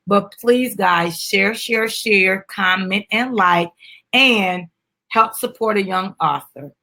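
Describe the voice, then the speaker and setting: A woman's voice: mid-range voice, slow pace, pushed and bright. A woman chatting casually to viewers as she hosts a live stream and promotes a children's book. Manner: chatty, addresses viewers as guys.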